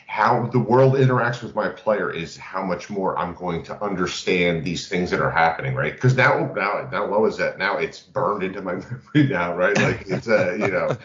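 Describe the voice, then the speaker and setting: Low-voiced man chatting in a roomy space. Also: normal speed, few pauses, a bit bright, muffled recording. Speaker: podcast co-host. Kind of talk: casual chat about tabletop games.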